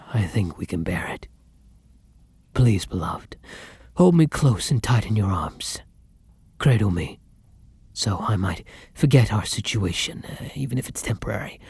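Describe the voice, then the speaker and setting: A man voicing a tender, weary male vampire lover in an intimate ASMR roleplay, speaking formally and gently, often close to a whisper. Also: on the low side, no room sound, heavily acted. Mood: weary, pleading. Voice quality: breathy, husky, often dropping to a whisper. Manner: formal old-fashioned endearments, gentle and dramatic.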